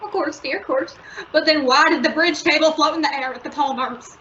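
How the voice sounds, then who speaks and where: A woman's voice: sits high, a little fast, sharp tone. A woman playing a worried, placating wife and mother in an old-time radio sitcom. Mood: placating, doubtful. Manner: placating, anxious. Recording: dull lo-fi radio play recording, faint noise.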